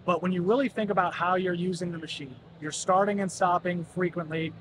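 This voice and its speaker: A man's voice: fairly even intonation, fairly quick. A man in his thirties, a product representative giving a polished demonstration of a utility vehicle in a large indoor expo hall. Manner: polished, explanatory sales pitch. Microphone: camera mic at close range. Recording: crowd noise of a busy expo hall.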